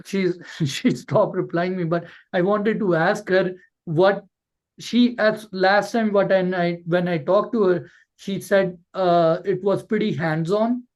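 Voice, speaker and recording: A man's voice: high-pitched, fairly even intonation, slow, poor audio. A man coaching callers in an informal online Q&A, blunt and emphatic, often repeating words to drive a point home. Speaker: coach hosting a Q&A session.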